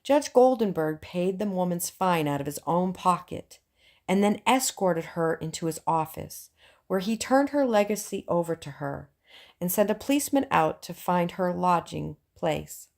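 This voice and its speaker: Female audiobook narrator, slightly low voice, reading scripted prose. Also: animated, moderate pace, vocal fry, a little mellow. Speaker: audiobook reader.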